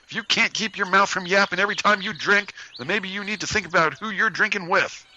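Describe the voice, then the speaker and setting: A man voicing a stern, angry leader of a Western outlaw posse in an audio story, with a forceful, scolding manner. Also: high voice, a little fast, dull audio, voice pushed up. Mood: stern, frustrated. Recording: noisy background hiss.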